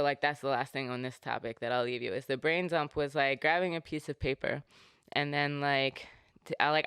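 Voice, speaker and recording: Man, podcast host, rambling casual chat, clear smooth tone, dry close sound. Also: a little high, no room sound. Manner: rambling, filler-heavy, animated asides.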